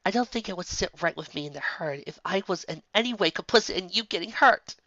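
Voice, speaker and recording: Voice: mid-range voice, expressive, quick pace, pushed and bright, no room sound, muffled recording, voice pushed up. A reader voicing an earnest, studious female unicorn pony in an audiobook reading, with an urgent, pleading manner.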